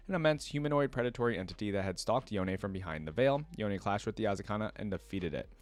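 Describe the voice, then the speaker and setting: A man's voice: fairly low pitch, no echo. A man giving casual reaction commentary as a channel host, chatting and reading aloud.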